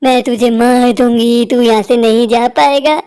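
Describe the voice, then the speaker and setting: A woman's voice: a little high, fairly even intonation, normal speed, dark tone, clean tone, natural voice. A woman voicing a cruel, hungry witch in a cartoon story, menacing, taunting and boastful. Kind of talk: Hindi cartoon story acting.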